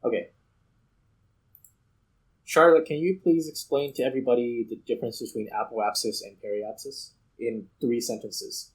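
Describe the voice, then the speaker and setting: A man's voice: medium pitch, fairly even intonation, moderate pace, slightly rough, poor audio. A man giving casual gameplay commentary as host, thinking aloud step by step. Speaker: host playing a space flight game.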